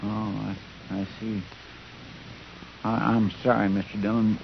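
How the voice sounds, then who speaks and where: An actor playing a meek, loyal, folksy American deputy, hesitant and deferential, in an old radio western. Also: male voice, on the low side, flat delivery, a little slow, creaky. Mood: timid, apologetic. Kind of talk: 1950s radio western acting. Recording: muffled, hissy old radio recording.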